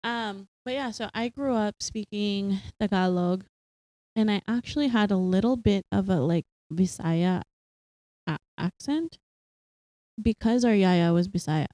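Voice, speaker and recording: Young woman podcast cohost, mellow voice, dry close sound, laughs a lot. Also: mid-range voice, unhurried, no echo. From Philippines, raised partly in Singapore. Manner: animated, laughs often, many fillers. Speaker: podcast co-host. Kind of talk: casual chat.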